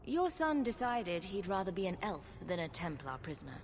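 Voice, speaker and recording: Frank, composed adventurer, reverberant. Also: female voice, on the low side, very animated, moderate pace, noisy background. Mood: firm, matter-of-fact. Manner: direct, wry. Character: frank, composed female adventurer, wryly blunt. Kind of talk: cinematic fantasy game acting.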